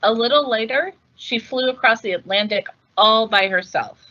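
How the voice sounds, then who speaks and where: A woman reading a picture book aloud as host, in a measured, deliberate storytelling delivery. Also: fairly high pitch, sharp tone, muffled recording. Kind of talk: scripted picture-book narration.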